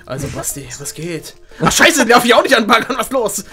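A man's voice: very high voice, big pitch swings, a little fast, bright, forceful tone, breathy edge. Male game show host, theatrical and jokey commentary, music underneath. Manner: joking, exclamatory, theatrical.

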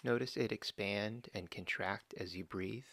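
A man slowly and calmly guiding a body scan meditation, giving gentle step-by-step instructions with long pauses. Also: on the low side, creaky. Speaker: meditation guide.

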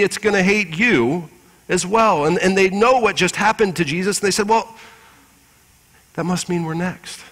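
Male pastor, 40s, slightly high voice, earnest measured sermon delivery, long church reverb. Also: a bit bright. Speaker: pastor preaching. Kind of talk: Easter Sunday sermon with scripture reading. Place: large church sanctuary with congregation. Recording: reverberant church hall through PA.

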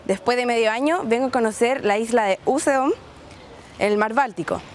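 Una mujer joven de Chile con acento chileno, que presenta un reportaje de viaje al aire libre y le habla con entusiasmo a la cámara. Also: female voice, on the high side, fairly quick, slight background noise. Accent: Chilean Spanish. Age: young adult. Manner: chatty, enthusiastic, addresses viewers directly. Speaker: exchange student presenting a travel segment. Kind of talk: casual travel presenting to camera. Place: outdoors in a seaside resort town and on a beach.

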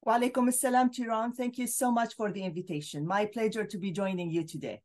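A middle-aged woman from Syria speaks on a video call from a room at home, laying out her points earnestly and carefully. Her pitch sits in the middle range, and her talk is dotted with small fillers and restarts. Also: fast, darkish tone. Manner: earnest, explanatory, frequent fillers. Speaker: guest scholar.

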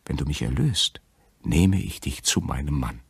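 Man reading as an earnest enchanted princess, deep and husky. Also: animated, moderate pace, dry recording, exaggerated voice. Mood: earnest, pleading. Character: enchanted young tsar's daughter, earnest and pleading.

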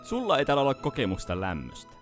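A gruff young cartoon mountain man, played by a man. He is dry and sceptical, with very wide swings in pitch over faint music. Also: wildly swooping pitch. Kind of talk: animated film fan dub.

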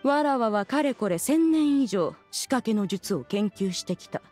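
An ancient immortal lady from a video game, proud and imperious. Her voice sits a little high with a husky edge. She speaks in formal, archaic phrases over soft background music. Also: lively intonation, unhurried. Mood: haughty. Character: haughty ancient female immortal in human form.

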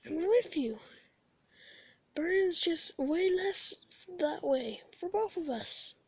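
A woman voicing an excitable, earnest young male skeleton in a comic dub, with dramatic, emphatic delivery. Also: very high voice, slow pace, no room sound, exaggerated voice. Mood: earnest, pleading.

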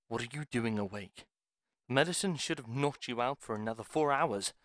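A man's voice: mid-range voice, moderate pace, fairly bright tone, no echo, clean studio sound, natural voice. A man playing a bitter, curt pharmacist healer in a fantasy audio roleplay, speaking in stern, formal phrases. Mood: surprised.

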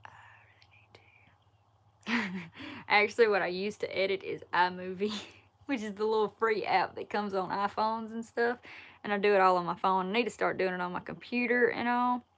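A woman in her twenties with a Southern Alabama accent, chatting casually on a livestream and answering viewer questions in a relaxed, folksy way from a wood-paneled room. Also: medium pitch, moderate pace, pauses often. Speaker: outdoors YouTuber answering live chat. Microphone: laptop or phone camera at arm's length.

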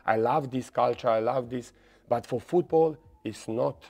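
A middle-aged man, a native Croatian speaker, talking as a guest in English that halts and repeats words, sometimes getting animated when telling a story. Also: medium pitch, measured pace, soft background music. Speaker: football coach, guest. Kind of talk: casual interview in non-native English. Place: dark studio set with red armchairs. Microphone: lapel mic.

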